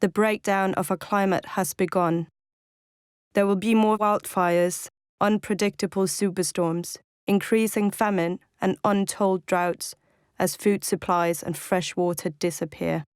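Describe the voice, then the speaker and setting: A woman reading a scripted activist declaration, solemn, measured and formal. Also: medium pitch, fairly even intonation, clean tone, no echo, clean studio sound. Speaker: activist reader.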